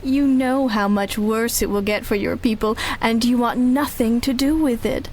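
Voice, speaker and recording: A woman playing a cold, seductive female assassin in a radio drama, taunting and deliberate. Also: on the high side, fairly even intonation, darkish tone, slight background noise, natural voice. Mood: coolly menacing.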